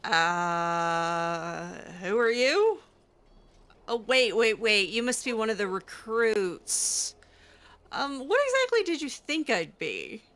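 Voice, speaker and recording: A woman's voice: medium pitch, sing-song, takes it slowly, fairly bright tone. A woman doing casual, improvised roleplay commentary over a video game, chatty and playful with a hesitant way of talking. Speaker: gamer roleplaying a character.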